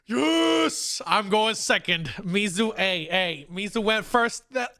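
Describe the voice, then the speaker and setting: A man hosting a casual podcast, talking excitably and repeating phrases for emphasis. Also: sits high, slow, no echo, studio quality. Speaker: podcast host.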